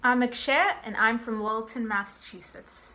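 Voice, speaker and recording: A teenage girl opens a student research presentation with clear, scripted delivery. Her voice is bright, with a fairly high pitch. She speaks from home into a laptop mic. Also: moderate pace. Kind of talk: prepared project presentation. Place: room at home.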